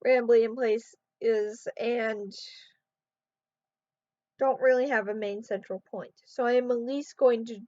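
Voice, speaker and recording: A sleep-deprived woman talks loosely to her camera, rambling and trailing off as her voice wears hoarse. Her voice sits a little high. The sound is dull and muffled, in a dead, dry room. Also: fairly even intonation, slow, long pauses, warm tone, no echo. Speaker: vlogger. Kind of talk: casual talk to camera.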